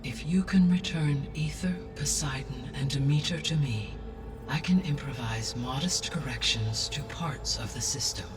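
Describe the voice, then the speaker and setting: A woman voicing a calm, grave artificial intelligence in a video game, speaking formally and precisely. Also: a bass voice, a little slow, distant mic, music in the background. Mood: solemn, explanatory.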